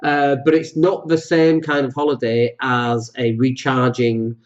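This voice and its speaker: A man hosting a solo podcast, talking with a cold that makes his voice deeper, rambling and jokey with many fillers. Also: little pitch movement, measured pace, dull audio. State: has a cold, voice deeper than usual. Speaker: podcast host.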